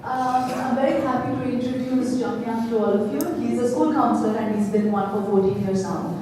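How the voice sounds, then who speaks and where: Woman with a higher voice introducing a counselor, far off in a big echoey hall. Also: a little fast. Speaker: workshop participant. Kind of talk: introducing a fellow participant. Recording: echoey hall, distant mic, noisy.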